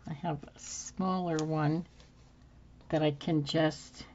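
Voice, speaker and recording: A woman hosting a casual crafting video at her craft table, a bit hoarse, thinking aloud as she works with many ums. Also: deep voice, steady tone, slow pace, a little mellow, dull audio, a little hiss or hum. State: slightly hoarse from dry throat. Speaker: craft channel host. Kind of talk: casual crafting tutorial chat. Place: home craft table, indoors.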